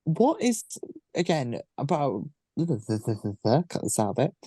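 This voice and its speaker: A man hosting a podcast, interviewing casually with halting, repeated phrasing. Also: mid-range voice, very animated, mellow, breathy edge, no echo. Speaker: podcast host.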